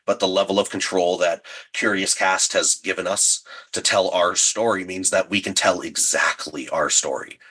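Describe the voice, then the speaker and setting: A man's voice: low-pitched, fairly even intonation, moderate pace, sharp tone, slightly rough. A man speaking as an interview guest, chatting enthusiastically as a writer and creator about his work.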